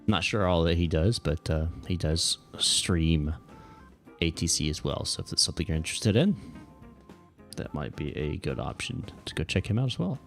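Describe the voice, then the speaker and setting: Deep, gravelly male livestreamer. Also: expressive, normal speed, faint music behind. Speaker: livestream host playing air traffic controller. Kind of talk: casual livestream chat with radio phraseology.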